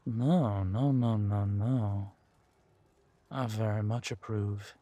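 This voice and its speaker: A gloating villain king played by a man in an audio drama, speaking in a low-leaning, very unhurried drawl. He is menacing and seductive, theatrical and used to being obeyed. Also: steady tone, warm tone, dry recording. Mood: approving, amused. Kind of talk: dramatic roleplay audio acting.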